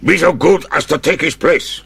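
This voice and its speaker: An actor playing a suave, sardonic judge in a radio drama, a husky voice and mock-courteous, commanding menace. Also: male voice, a little high, moderate pace, a bit bright, no room sound, exaggerated voice. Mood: cold menace. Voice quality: husky, gravelly.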